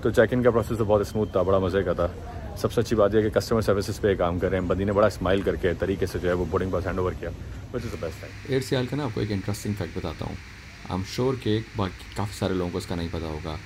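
Low-voiced young man vlogging in a noisy airport. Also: fast talker. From Pakistan. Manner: relaxed, talks to camera, mixes English phrases in. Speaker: travel vlogger. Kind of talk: casual travel review. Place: airport terminal and plane cabin, indoors. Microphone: phone at arm's length. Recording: noisy airport and cabin background.

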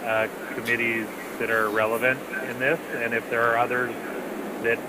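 A man's voice: medium pitch, little pitch movement, moderate pace. A task force member, a man, speaking in an accommodating way with fillers during a video meeting. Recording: noisy call line with background hum.

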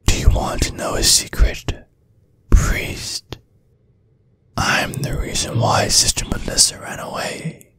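A man playing a seductive, possessive vampire in an intimate ASMR roleplay, husky and breathy, with a teasing, menacing drawl that often sinks to a whisper. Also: slow pace, sharp tone. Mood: hushed, seductive. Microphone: close, dry microphone.

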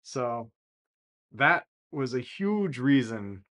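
A man in his thirties explaining sailing gear casually to the camera, relaxed and enthusiastic, often saying "really" and "uh", recorded in a small office at desk distance. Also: medium pitch, drawn-out words. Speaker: sailing vlogger explaining boat repairs.

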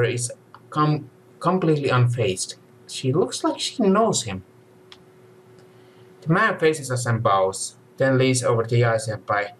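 Lively young man reading a game aloud. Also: medium pitch, a little hiss or hum, low-quality recording. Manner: expressive, occasional stumbles while reading. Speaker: let's play streamer and fan dubber. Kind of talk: reading visual novel narration and dialogue aloud. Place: indoor room, game music behind.

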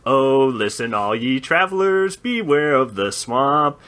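A man's voice: mid-range voice, sing-song, slow pace, a bit bright. A man voicing a whimsical, lovelorn goblin bard who rambles colloquially in a sing-song way. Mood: mock-ominous singing.

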